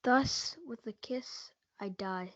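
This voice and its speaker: Casting: female student as defiant, grieving young male lover, high voice, school stage reading, dull lo-fi sound. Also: slow, dry recording. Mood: tender sorrow. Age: young teen or student. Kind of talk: school reader's theatre performance. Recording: dull lo-fi audio.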